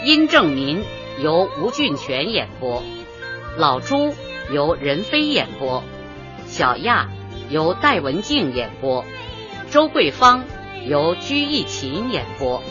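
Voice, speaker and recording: A woman announcer with a mid-pitched voice reads radio credits slowly and formally. Her intonation is very lively, and the recording is dull, with music behind her. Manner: formal, measured enunciation. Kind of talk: scripted radio credits reading. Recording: dull, lo-fi audio over music.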